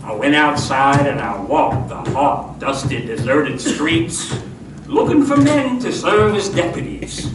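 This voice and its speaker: Man acting a high-pitched, swooping, flustered Western marshal. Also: lively intonation, takes it slowly. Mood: solemn. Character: earnest, jittery Western town marshal telling his own tale. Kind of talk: comic old-time radio acting with narration. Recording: distant, echoey, noisy live stage recording.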